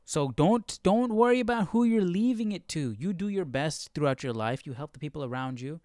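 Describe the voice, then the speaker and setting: A man's voice: on the high side, expressive, fairly quick, a little mellow, no echo, studio quality. A man hosting a Bible study livestream, talking casually and off the cuff, searching for words and stretching phrases for emphasis. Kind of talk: casual unscripted commentary.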